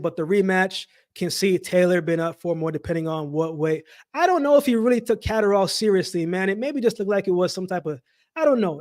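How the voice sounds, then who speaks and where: A high-voiced man hosts a casual live chat in a dry, close-sounding space. He talks in loose slang and often says a phrase again for emphasis, as if speaking straight to his regulars. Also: dry recording. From Jamaica-born, raised in Canada. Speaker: livestream host. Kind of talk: casual live chat with viewers, boxing commentary.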